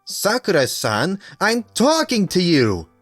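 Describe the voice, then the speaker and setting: Man doing a haughty, scolding high-pitched young woman. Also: huge pitch swings, slow pace, fairly bright tone, no room sound, faint music behind, heavily acted. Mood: irritated. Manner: scolding, imperious. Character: haughty, jealous, sharp-tongued young woman.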